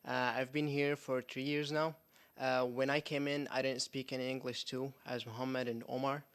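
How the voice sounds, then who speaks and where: A man's voice: mid-range voice, flat delivery, moderate pace, a bit bright, smooth. A teenage boy from Syria introducing himself, with hesitant fillers. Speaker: student presenter.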